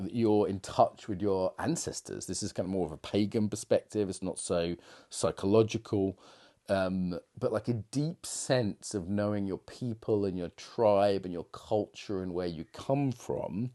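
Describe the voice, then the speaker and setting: A man's voice: low-pitched, mellow. A middle-aged man coaching on confidence in a rambling, reflective monologue full of fillers and restarts. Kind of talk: casual monologue to camera.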